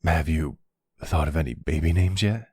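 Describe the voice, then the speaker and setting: Tender mafia boss boyfriend, male performer, middle-aged, gentle and reassuring, rock-bottom pitch, raspy, for ASMR roleplay. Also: normal speed, no echo, clean studio sound.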